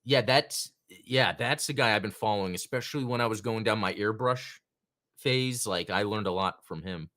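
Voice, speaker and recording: A man's voice: lowish voice, moderate pace, pushed and bright. A man hosting a casual live-stream chat show, rambling through thoughts and greeting viewers in the chat by name. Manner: rambling, chatty, reads out chat names. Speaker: podcast host.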